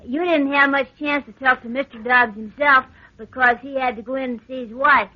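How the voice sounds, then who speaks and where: High-pitched, hesitant young helper. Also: female voice, fairly even intonation, fairly quick. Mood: earnest, hesitant. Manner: hesitant recounting with ums. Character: eager, obliging young assistant Johnny. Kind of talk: old-time radio drama acting. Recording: dull old radio recording.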